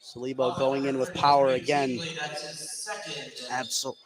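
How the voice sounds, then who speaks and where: A man's voice: mid-range voice, flat delivery, a little slow, crowd noise. A man giving casual, observational color commentary during a live basketball broadcast. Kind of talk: live sports analysis, conversational. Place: indoor gym at a basketball game. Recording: clear gym noise behind the voice.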